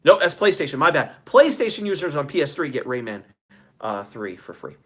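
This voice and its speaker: A man's voice: fairly high pitch, very animated, a little fast, slightly rough. A man in his forties hosting a casual livestream, reading out audience comments in chummy, profane slang with enthusiasm. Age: 40s. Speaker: show host.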